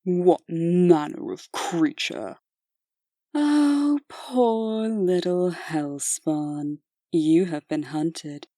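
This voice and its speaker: Low-voiced, slow, mocking warrior huntress played by a woman. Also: dark tone, no echo, studio quality. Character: haughty warrior woman hunting hellspawn, coolly taunting. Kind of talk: comic dub voice acting.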